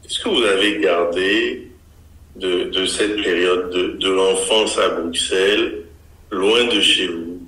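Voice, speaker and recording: A man hosting an interview, asking measured, probing questions. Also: low-pitched, steady tone, bright, forceful tone, echoey room, poor audio. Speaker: interviewer host.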